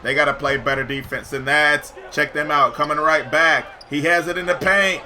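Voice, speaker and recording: A man giving excited, slangy live commentary over basketball video game play, shouting at big plays, his voice getting hoarse. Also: on the high side, moderate pace, slight background noise. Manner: slangy, says "yo" often. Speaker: gaming YouTuber.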